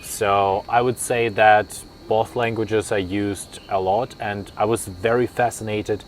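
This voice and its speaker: A man's voice: fairly low pitch, fairly even intonation, slow pace. A young man from Kazakhstan whose first language is Russian, answering interview questions thoughtfully with many fillers and restarts. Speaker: interview guest, linguist.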